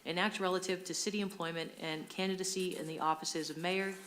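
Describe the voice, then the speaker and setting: A woman with a slightly low voice serves as clerk at a formal meeting. She calls names and reads motions in a procedural, matter-of-fact manner. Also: fairly even intonation, fairly quick, fairly bright tone, slight background noise. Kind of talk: formal roll call and reading of motions. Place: indoor public council meeting room.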